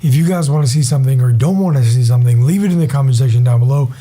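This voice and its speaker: A hoarse young man with a raspy voice, giving casual, rambling gaming commentary as a channel host. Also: mid-range voice, rapid-fire, warm tone. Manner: rambling, enthusiastic, many fillers.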